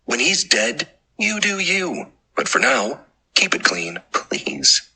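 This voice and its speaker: An undead zombie woman for an audio drama. Her voice is very sharp and bright, with a husky edge, and she talks slowly. She scolds in a prim, deadpan, exasperated way. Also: fairly low pitch, full character voice. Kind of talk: audiobook drama narration and dialogue.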